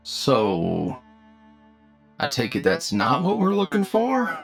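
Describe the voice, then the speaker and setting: A man's voice: mid-range voice, wildly swooping pitch, slow, creaky, faint music behind, full character voice. A man voicing a wry, laid-back crewmate in a sci-fi audio roleplay, with a drawling, colloquial manner. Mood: skeptical. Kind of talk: anime-style audio roleplay acting.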